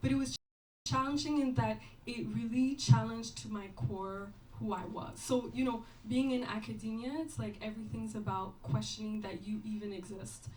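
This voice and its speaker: A woman's voice: a little high, fairly even intonation, normal speed, crowd noise. A woman choreographer chatting earnestly at a post-show talkback, full of fillers like "um" and "you know". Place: performance venue. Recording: distant mic, faint ambience.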